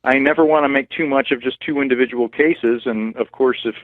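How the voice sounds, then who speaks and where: A man's voice: medium pitch, no room sound. A man speaks over a tinny phone connection in a careful, explanatory way. There is no room sound around his voice. He qualifies his points as he goes. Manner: measured, hedging, explanatory. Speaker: cannabis researcher guest. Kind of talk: phone-in expert interview. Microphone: telephone.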